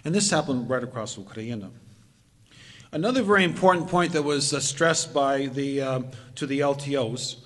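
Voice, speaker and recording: An older man speaks steadily from a podium microphone, laying out his points one after another. His voice sits at an ordinary middle pitch. It carries a long reverb from the community hall around him. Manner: measured, methodical recounting. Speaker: presenter. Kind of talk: lecture presentation. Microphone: podium microphone, far camera.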